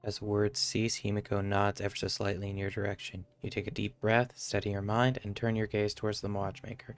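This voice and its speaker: A man's voice: fairly low pitch, level tone, fairly quick. A man streaming a game, giving offhand commentary and reading text aloud, sometimes stumbling over it.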